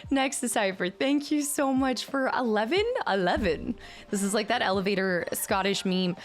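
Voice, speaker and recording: A woman's voice: medium pitch, normal speed, faint music behind. A young adult woman streaming, chatting casually with her viewers and reading their names and gifts aloud. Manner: animated, chatty, reads chat names aloud. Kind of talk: casual chat with live chat.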